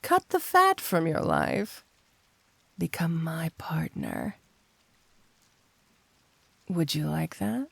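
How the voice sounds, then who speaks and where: A woman playing a flirty, seductive cat-burglar supervillain in an intimate ASMR roleplay, coaxing and teasing in an unhurried way. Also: low voice, wildly swooping pitch, fairly bright tone, dry recording, full character voice.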